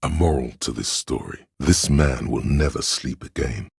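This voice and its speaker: Pretentious art-loving inner voice, extremely low, very husky, theatrical, deliberate, literary video game narration. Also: male voice, darkish tone, dry recording, clean studio sound, full character voice. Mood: solemn, disdainful. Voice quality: very deep, gravelly, husky. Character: pretentious art-obsessed inner voice, male, musing and cutting. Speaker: narrator of a character's inner skill voice.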